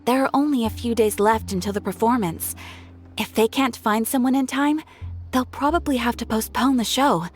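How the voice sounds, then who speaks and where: A woman's voice: fairly high pitch, moderate pace, soft background music, close to an everyday voice. An actress playing a polite, formal female guild receptionist in a video game.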